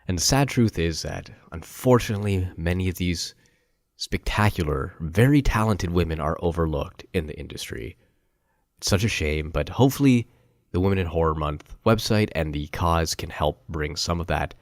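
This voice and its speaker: A man hosting a podcast, chatting in a relaxed, upbeat way with his guest and wrapping up the show. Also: sits low, no room sound, studio quality. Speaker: podcast host. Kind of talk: casual interview and outro.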